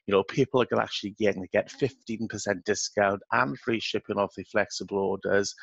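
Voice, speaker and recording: Male webinar presenter, low and gravelly, slightly hoarse, chatty sales delivery. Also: dry recording. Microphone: online webinar microphone. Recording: dull lo-fi webinar microphone.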